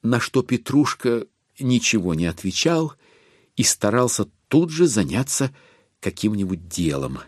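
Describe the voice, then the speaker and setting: A man narrating a classic novel as a theatrical audiobook, in a wry, expressive way with deliberate pauses. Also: on the low side, no room sound, clean studio sound.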